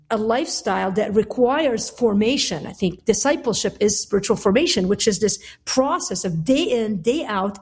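A woman's voice: fairly high pitch, a little mellow, husky. A woman from Lebanon, a guest author on a radio talk show, speaking in long, earnest, reflective thoughts.

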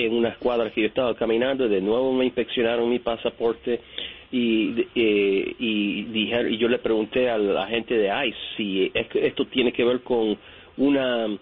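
A man from New York calling in to a radio show, telling his story haltingly with many fillers and restarts. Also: medium pitch, husky. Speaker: caller. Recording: tinny phone line.

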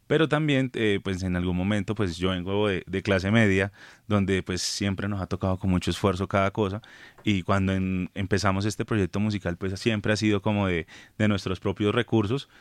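Low-voiced Colombian man in his thirties. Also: moderate pace, clear, resonant tone, dry recording. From Villavicencio, Colombia. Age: 30s. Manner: laughs often, relaxed and friendly. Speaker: guest singer. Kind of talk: casual radio interview chat. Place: radio studio.

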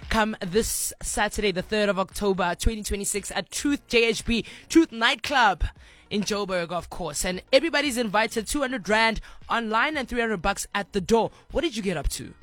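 A woman's voice: mid-range voice, moderate pace, fairly bright tone, dry recording, soft background music. A woman hosting a call-in radio show, with upbeat, slangy banter. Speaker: radio host.

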